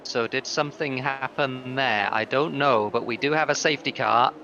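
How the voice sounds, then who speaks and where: A man commentating an online racing league in a measured, analytical way, reading out gaps and lap times. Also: medium pitch, lo-fi sound. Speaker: lead commentator. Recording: clear background noise.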